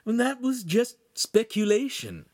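A man's voice: extremely high pitch, big pitch swings, takes it slowly, darkish tone, no room sound, studio quality, voice pushed up, heavily acted. A man voicing a nervous, quirky shape-shifting imp who explains things earnestly in a storybook reading. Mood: dejected, anxious.

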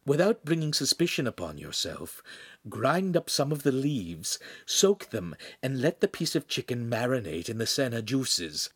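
A man voicing a tiny mischievous creature who whispers sly schemes in a hushed voice. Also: medium pitch, big pitch swings, normal speed, husky, no echo. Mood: scheming.

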